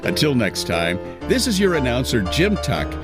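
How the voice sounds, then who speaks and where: Lively male announcer over guitar music. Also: mid-range voice, moderate pace. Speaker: podcast announcer. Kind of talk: scripted outro announcement. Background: plucked guitar music.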